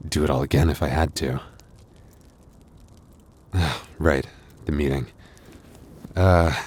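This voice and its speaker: Man acting as very deep-voiced, weary werewolf boyfriend. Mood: earnest, confessing. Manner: halting, sighing, softly earnest delivery. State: weary, hurting. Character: wounded, tender young male werewolf boyfriend, protective and vulnerable. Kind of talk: intimate boyfriend ASMR roleplay acting.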